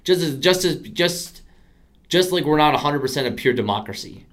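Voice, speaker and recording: A man's voice: a little high, lively intonation, normal speed. A young man in his twenties from the United States, co-hosting a casual podcast. He rambles, circles back on himself and fills gaps with likes and I means as he works out his point. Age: 20s. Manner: rambling, many restarts and fillers. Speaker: podcast co-host. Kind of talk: casual chat.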